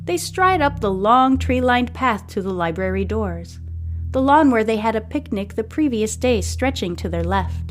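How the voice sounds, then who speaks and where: A woman's voice: on the high side, animated, moderate pace. A woman hosting and narrating a serialized story podcast, reading in storybook style. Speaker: host and narrator. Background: music.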